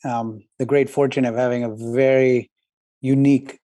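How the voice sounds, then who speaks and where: Reflective man with a flat, mellow voice. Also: medium pitch, moderate pace, dry recording. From southern India, raised in New York. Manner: reflective, with frequent restarts and fillers. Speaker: guest, tech company founder. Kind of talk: conversational interview.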